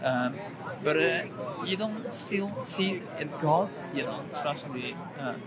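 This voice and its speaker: A man from Syria with a Syrian accent, speaking halting English full of fillers and stammers in a street conversation. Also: on the high side, expressive. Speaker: passer-by being questioned. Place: busy city street outdoors. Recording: loud crowd noise outdoors. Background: loud crowd.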